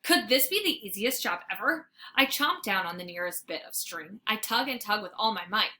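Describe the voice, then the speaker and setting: A woman reading a children's story aloud like a teacher, with dramatic, expressive narration. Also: mid-range voice, normal speed. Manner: dramatic, animated.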